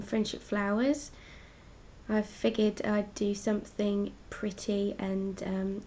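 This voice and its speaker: A congested woman with a mellow, flat-toned voice talks casually through her artwork on a live stream, explaining each piece in a chatty way. The sound is dull and lo-fi, with a faint rumble behind her. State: congested with a cold. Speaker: artist hosting her own live stream. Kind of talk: casual art chat, showing paintings.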